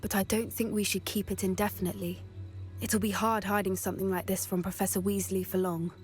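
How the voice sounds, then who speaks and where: A woman with a British accent playing an earnest, cautious teenage witch girl in a video game cutscene, speaking in a measured, articulate way. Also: medium pitch, flat delivery, a little mellow, lightly acted. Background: cinematic background music.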